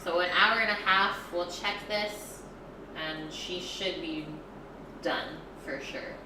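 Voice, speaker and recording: A woman's voice: lowish voice, steady tone, slow pace. A woman hosting a casual cooking livestream from her home kitchen, chatting with viewers and answering their messages as she works. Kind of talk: casual cooking stream chat. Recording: echoey kitchen with background noise.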